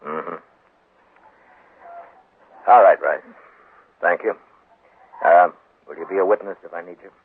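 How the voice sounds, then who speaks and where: An actor playing a firm, shrewd American frontier marshal in a 1950s radio western, dry and commanding, with a husky voice. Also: male voice, low voice, a little slow, pauses often, slight background noise. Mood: quiet, weary. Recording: 1950s radio broadcast, narrow tinny sound.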